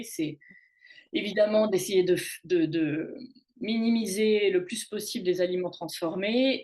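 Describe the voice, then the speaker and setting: A woman's voice: fairly low pitch, darkish tone. A woman in her late forties talking casually as a show guest, often stopping and restarting her sentences. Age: late 40s. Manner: halting, many restarts and fillers. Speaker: guest on a women's wellness talk show. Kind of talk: casual conversational talk. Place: in Canada.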